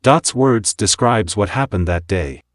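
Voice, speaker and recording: A man's voice: fairly low pitch, lively intonation, measured pace, slight background noise. A man reading scripted history narration in a storytelling style.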